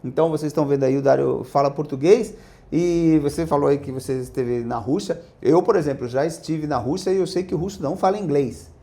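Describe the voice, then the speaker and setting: A man's voice: fairly high pitch, a little fast, warm tone. A man hosting an interview podcast, upbeat and speaking directly to his listeners. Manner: upbeat, addresses the audience directly. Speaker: podcast host.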